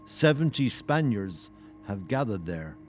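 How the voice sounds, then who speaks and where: A man narrating a historical audio play in a solemn, literary and measured way. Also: lowish voice, sing-song, faint music behind. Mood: grave. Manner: measured, poetic. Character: solemn male storyteller of a historical tale.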